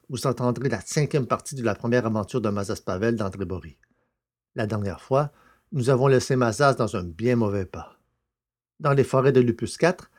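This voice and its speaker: A man's voice: mid-range voice, a little mellow. A man with a mid-range voice hosts a podcast. He reads prepared announcements and introductions in the role of presenter.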